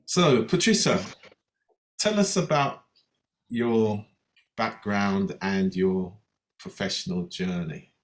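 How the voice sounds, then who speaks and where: A man's voice: lowish voice, takes it slowly, long pauses. A man hosting an interview, making remarks and putting questions to a guest. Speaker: interviewer, host.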